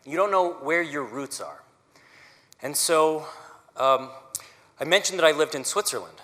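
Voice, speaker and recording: Man in his 30s, conductor giving a community talk. Earnest and chatty, bright, clear tone, long hall reverb. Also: a little high. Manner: earnest, conversational, frequent "right?" asides. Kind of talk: personal public talk. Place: auditorium stage.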